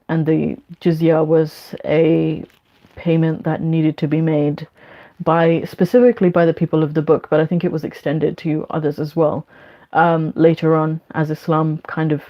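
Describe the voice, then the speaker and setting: A woman with a London accent hosting a casual question-and-answer session, speaking in a frank, measured way. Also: low voice. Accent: London English. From London, UK; born in Bangladesh. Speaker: online Q&A host. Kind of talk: casual spoken Q&A, reading questions and giving opinions. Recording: dull, lo-fi sound.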